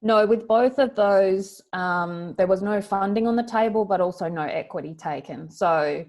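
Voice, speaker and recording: A woman founder answering panel questions on a video call in a measured, matter-of-fact way. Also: medium pitch, a little mellow.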